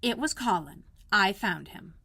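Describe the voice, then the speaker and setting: A woman voicing an eager, bossy young girl full of wonder in a children's storybook, speaking with animated emphasis. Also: medium pitch, unhurried, gravelly, slightly rough, full character voice. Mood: matter-of-fact.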